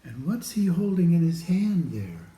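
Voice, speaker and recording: A man reading a children's storybook aloud in a deliberate, expressive way, addressing young listeners. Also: a little high. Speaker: storybook reader. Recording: echoey room with clear background noise.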